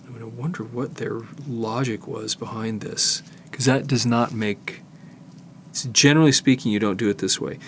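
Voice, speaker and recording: An older man giving a casual hands-on demonstration, explaining haltingly and thinking aloud as he works. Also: medium pitch, steady tone, a little hiss or hum. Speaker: host, tape digitizing technician. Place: studio, indoors.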